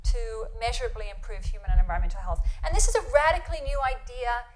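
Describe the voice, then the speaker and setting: A woman with an Australian accent delivers a guest lecture in a quiet, slightly high voice. She often restarts her sentences and keeps asking "right?" to her audience. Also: fast, poor audio. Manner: quiet, self-interrupting, rhetorical questions with "right?". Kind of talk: university lecture. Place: lecture hall.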